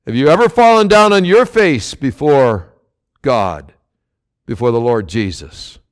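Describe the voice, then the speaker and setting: A man preaching a sermon as pastor, loud and emphatic, with sudden swells and drops in his delivery. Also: mid-range voice, expressive, unhurried.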